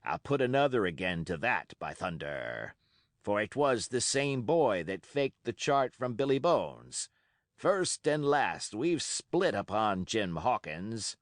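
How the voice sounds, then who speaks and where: A man voicing a crafty older one-legged pirate cook who speaks in a low, gruff, commanding way. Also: big pitch swings, takes it slowly, no room sound, clean studio sound, heavily acted.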